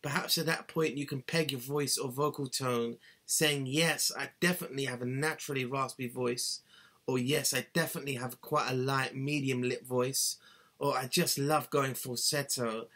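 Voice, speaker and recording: A man's voice: medium pitch, steady tone, normal speed, pushed and bright. A man in his thirties giving an earnest, instructive singing lesson, talking to a webcam in a small cluttered bedroom. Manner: earnest, instructive, gestures with both hands. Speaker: singing tutor. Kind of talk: scripted how-to talk to camera.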